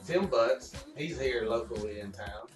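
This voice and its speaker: A man's voice: mid-range voice, lively intonation, measured pace, far from the mic. A man hosting a live stream of radio plays, chatting casually in a joking, rambling way. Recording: echoey room, off mic, music behind.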